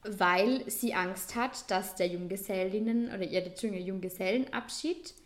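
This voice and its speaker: Austrian woman co-hosting a podcast, in her twenties or thirties, chatty and loose, full of little fillers and restarts, at an ordinary middle pitch. Conversational and relaxed in delivery. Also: unhurried. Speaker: podcast co-host. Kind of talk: casual chat.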